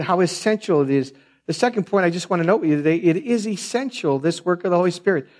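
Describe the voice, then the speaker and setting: Soft-voiced preacher, fairly high. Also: male voice. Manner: earnest, conversational storytelling with frequent restarts. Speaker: pastor. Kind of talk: sermon.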